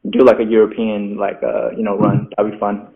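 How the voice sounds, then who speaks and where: A man's voice: lowish voice, monotone, fairly quick. Casual male guest, loose and chatty, lots of filler words, lo-fi call audio. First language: Mandarin. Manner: chatty, says "like" constantly, self-deprecating laughs. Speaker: interview guest, rapper. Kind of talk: casual interview chat. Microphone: video call. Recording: phone-like video call audio.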